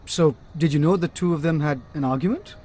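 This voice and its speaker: A fairly high man's voice from an actor as a radio-play private detective. He is courteous and composed, questioning people with cool assurance. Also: fairly quick, a little mellow, close to an everyday voice. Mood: polite, probing. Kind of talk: radio drama acting.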